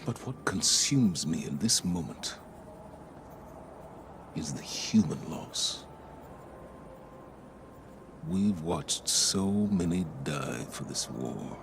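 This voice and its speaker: An actor playing a grave, weary male war commander in a sci-fi game, reflecting in a measured, solemn way. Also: sits low, expressive, background noise, heavily acted. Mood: somber, reflective. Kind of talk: solemn game cutscene monologue. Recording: music behind the voice.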